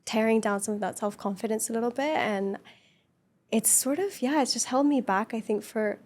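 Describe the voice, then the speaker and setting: A woman with a soft, gentle voice sitting a little above the usual pitch, talking through personal experiences as a podcast guest. Her speech is earnest and reflective, with easy laughter woven through a relaxed conversation. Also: moderate pace.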